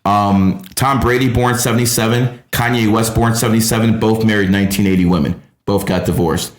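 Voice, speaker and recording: A man's voice: lowish voice, fairly even intonation, brisk. A man hosting a numerology podcast, talking casually and ranting with heavy profanity. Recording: roomy echo.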